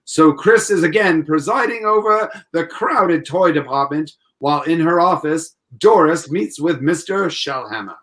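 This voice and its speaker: Man as a high-voiced, animated vintage radio announcer. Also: measured pace, few pauses. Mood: storytelling. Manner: salesmanlike, hearty. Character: upbeat, polished male 1940s radio announcer. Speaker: announcer and narrator.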